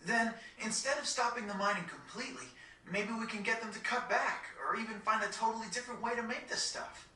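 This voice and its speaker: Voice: mid-range voice, fairly quick, bright, forceful tone, natural voice. An actor playing a casual, protective, take-charge teenage boy in a video game cutscene, frank and informal. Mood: reasoning. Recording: echoey, distant game audio.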